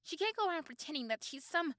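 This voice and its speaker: A woman voicing a possessive, protective teenage girlfriend in an ASMR roleplay, doting and intense, with dramatic pauses and repeated words. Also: high voice, animated, fairly bright tone, no room sound, studio quality. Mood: jealous, determined. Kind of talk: ASMR roleplay acting addressed to the listener.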